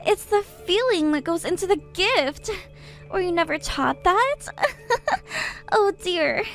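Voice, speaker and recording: A woman voicing a drunk, mocking young sister-in-law who giggles and taunts in a high voice. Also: animated, takes it slowly, a bit bright, faint music behind, heavily acted. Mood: drunk taunting.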